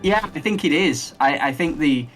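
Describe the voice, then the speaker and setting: A man hosting a casual podcast who rambles enthusiastically and thinks out loud as he talks. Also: fairly high pitch, animated, moderate pace, over music. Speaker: podcast host.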